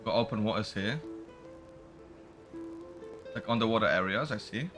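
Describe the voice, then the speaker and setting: A man's voice: lowish voice, moderate pace, over music. A man giving casual, unscripted commentary as he plays a video game, musing and thinking aloud. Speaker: streamer playing a video game.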